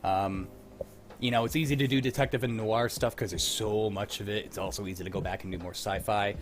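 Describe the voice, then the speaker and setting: A man's voice: lowish voice, fairly even intonation, a little fast. A man hosting a livestream in a laid-back, casual chat, swearing now and then. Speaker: host of a livestream script-reading show. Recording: clearly noisy background.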